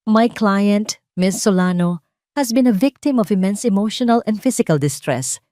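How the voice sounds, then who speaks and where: A woman's voice: mid-range voice, animated, normal speed, mellow, dry recording, natural voice. Accusing Filipino lawyer read by a woman, formal, weighty emphasis, dry audio drama sound. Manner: stresses every word, formal. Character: stern accusing corporate lawyer.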